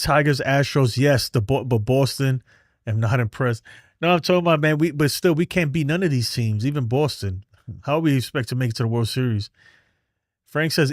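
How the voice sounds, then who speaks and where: A man's voice: mid-range voice, no room sound, clean studio sound. A man from New City, hosting a casual livestream and bluntly reading and answering chat. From New York City. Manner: streetwise, blunt, reads chat aloud. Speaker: livestream host. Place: home in Texas.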